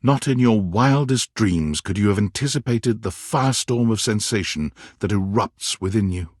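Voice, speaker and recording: A man giving scripted, theatrical narration for a game, telling the story in an expressive, dramatic way. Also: on the low side, normal speed, no room sound, studio quality.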